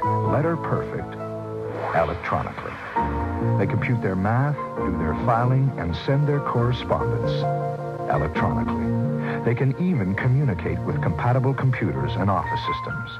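A man narrating an early 1980s radio commercial in a measured, emphatic way on old tape. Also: on the low side, sing-song, highs cut off, loud music behind the voice.

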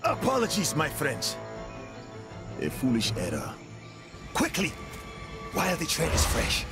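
Commanding, vengeful game hero; wildly dynamic pitch, heavy score behind. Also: male voice, huge pitch swings, a little slow, over loud music, exaggerated voice. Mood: urgent, apologetic. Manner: formal, commanding. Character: proud, vengeful African warrior-king superhero. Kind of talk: video game cutscene voice acting.

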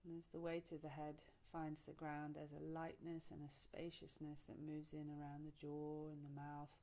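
A woman leading a guided relaxation meditation, soothing and unhurried, speaking in short phrases with long pauses. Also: sits low, completely flat delivery, dark tone. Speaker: yoga nidra teacher, meditation guide.

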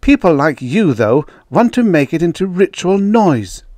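A man voicing a quick-tempered woman academic who argues and lectures with emphatic conviction. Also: fairly high pitch, big pitch swings, a little mellow, no room sound, voice pushed up. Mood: angry.

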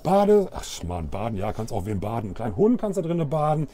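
A man's voice: mid-range voice, very animated, brisk, warm tone. A middle-aged man giving a casual, colloquial walk-through presentation, talking directly to the viewer on a clip-on microphone. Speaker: vlog host presenting caravans. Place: caravan trade fair hall and inside a caravan. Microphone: wireless clip-on microphone.

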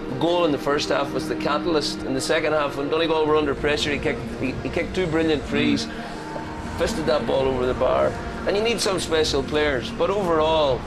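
An Irish man giving forceful, argumentative post-match analysis as a pundit. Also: on the high side, fairly quick, loud music behind the voice, low-quality recording. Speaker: studio pundit. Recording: loud crowd noise behind.

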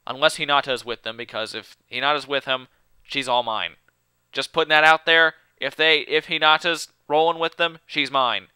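A man's voice: fairly high pitch, fairly even intonation, moderate pace, bright, forceful tone, clear, resonant tone, no echo. A young man doing let's play game commentary, goofy and full of joking asides. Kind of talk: game commentary, reading dialogue aloud. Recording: music behind the voice. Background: game music.

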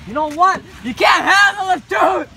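A man's voice: high-pitched, very animated, fairly quick, roomy sound, crowd noise, noisy background. A man playing a bossy, blustering police officer in an amateur crime skit, with a theatrical, commanding delivery. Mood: exasperated.

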